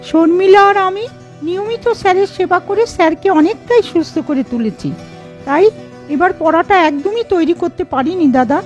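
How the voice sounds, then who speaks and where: A woman's voice: very high-pitched, moderate pace. A woman voicing an earnest, anxious college-girl younger sister in a children's cartoon story, speaking in a hesitant, confiding way. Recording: dull lo-fi audio over music.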